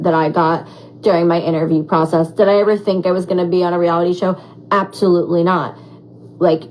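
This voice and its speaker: A raspy young woman answering fan questions in a casual, animated chat, full of "like" and "um". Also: on the low side, rough recording. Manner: animated, chatty, heavy on fillers like "like" and "um". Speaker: former reality show contestant.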